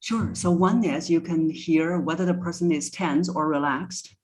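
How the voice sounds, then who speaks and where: A woman's voice: sits low, fairly even intonation, moderate pace, darkish tone, low-quality recording. A woman speaking as a voice coach in a conversational interview, giving instructive advice step by step. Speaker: voice coach, podcast guest.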